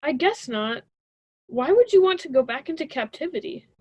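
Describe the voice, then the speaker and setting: A woman's voice: high voice, very animated, normal speed, a little mellow, dry recording. A young woman playing a stubborn, sarcastic college student in a radio drama, with a dismissive conversational delivery. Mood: exasperated. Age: young adult.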